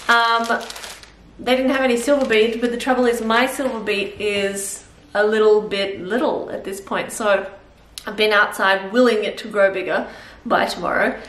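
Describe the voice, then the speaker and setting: A woman's voice: mid-range voice, steady tone, moderate pace, fairly bright tone, recorded from a distance. A woman in her thirties with an Australian accent, chatting casually in a rambling way while cooking at home in her kitchen. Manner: rambling, chatty, thinking aloud with fillers. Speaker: vlogger. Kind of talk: casual cook-along vlog chat. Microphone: camera mic a few feet away. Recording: roomy kitchen echo, voice from off-mic.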